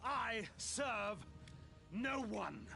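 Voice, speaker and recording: A slow, sardonic vampire nobleman. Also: very high-pitched, animated, vocal fry, bright, forceful tone, faint music behind, heavily acted. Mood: cold defiance. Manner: sardonic, deliberate, theatrical. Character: proud vampire nobleman, cold, sardonic, brooding. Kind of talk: dramatic video game monologue acting.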